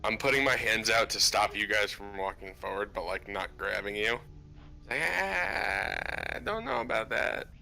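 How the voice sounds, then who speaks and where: A man's voice: on the low side, lively intonation, moderate pace, vocal fry, bright, forceful tone. A man chatting casually as a tabletop game player, blunt and given to swearing. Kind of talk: casual tabletop role-play chat.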